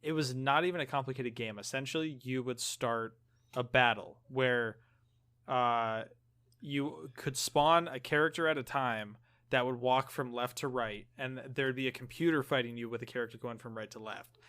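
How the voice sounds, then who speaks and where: A man's voice: mid-range voice, moderate pace, a bit bright, clear, resonant tone. Man chatting casually, rambling explainer. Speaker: podcast co-host. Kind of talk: casual gaming chat.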